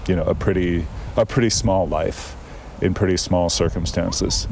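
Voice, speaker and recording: Low-voiced man telling stories, unhurried. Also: steady tone, gravelly, darkish tone, a little hiss or hum. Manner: thoughtful, digressive storyteller with frequent fillers. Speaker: guest. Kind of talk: casual conversation. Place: outdoors in a town.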